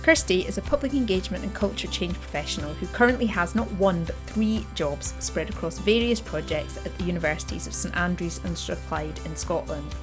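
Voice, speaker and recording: A woman hosting a podcast, reading a clear, upbeat scripted introduction and then interviewing her guest in a friendly way. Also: lowish voice, lively intonation, a little fast, few pauses, music in the background. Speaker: podcast host.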